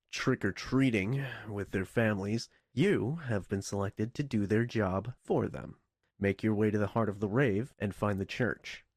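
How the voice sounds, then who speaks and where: A man playing a wry, bossy headquarters dispatcher in a video game, giving deadpan official orders with goofy, sarcastic asides. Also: fairly low pitch, no room sound, studio quality. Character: wry, bossy, easily exasperated male headquarters dispatcher sending prisoners on missions. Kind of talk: video game mission briefing voice lines.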